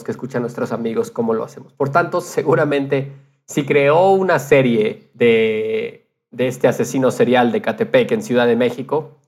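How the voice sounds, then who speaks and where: A man speaking casually as a podcast guest, explaining his thoughts in a reflective way. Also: mid-range voice. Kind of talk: casual conversation.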